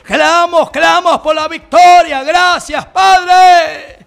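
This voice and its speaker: A man's voice: extremely high pitch, steady tone, drawn-out words, vocal fry, fairly bright tone, faint music behind. A man leading fervent Pentecostal intercessory prayer, pleading and exclaiming in repeated phrases. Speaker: prayer leader.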